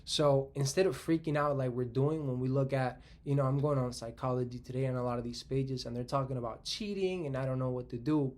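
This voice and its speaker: A man in his twenties, originally from Spain, talks alone in an easy conversational monologue, working out his argument as he speaks. He doubles back on his words and keeps checking in with "right?", and his voice sits at an ordinary middle pitch for a man. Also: fairly even intonation, fairly quick, few pauses, darkish tone. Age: 20s. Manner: thinking aloud, frequent restarts and "right?" tags. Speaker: tech commentator. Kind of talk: casual solo monologue.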